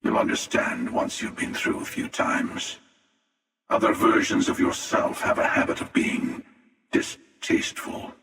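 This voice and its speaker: An actor playing a menacing, sardonic, world-weary armored warrior in a video game, with a gravelly, taunting, unhurried delivery. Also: male voice, a bit bright, close to an everyday voice. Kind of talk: video game character voice lines. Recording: cavernous long reverb, distant.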